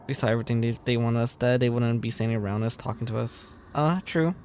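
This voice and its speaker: A man's voice: mid-range voice, fast talker, darkish tone, a little hiss or hum. A man live-streaming a story game, commenting casually as he plays. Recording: game music behind the voice.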